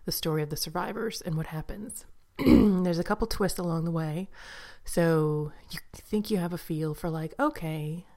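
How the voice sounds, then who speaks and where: A woman chatting casually about books she has read, rambling with fillers and asides, sometimes dropping to a soft whisper. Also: sits low. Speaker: book vlogger. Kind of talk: casual book review chat.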